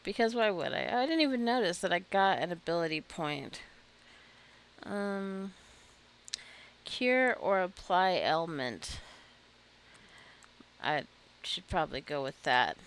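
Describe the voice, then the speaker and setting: A woman giving relaxed, chatty commentary while playing a video game, talking to the game characters and laughing often. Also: on the low side, measured pace, long pauses, clean tone. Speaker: gaming video creator. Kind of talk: casual gameplay commentary.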